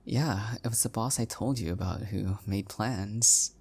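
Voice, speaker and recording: A man playing an adorkable, self-deprecating young husband in an intimate one-sided audio roleplay, speaking softly and hesitantly with affection. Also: lowish voice, a little slow, husky. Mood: bashful, unsure.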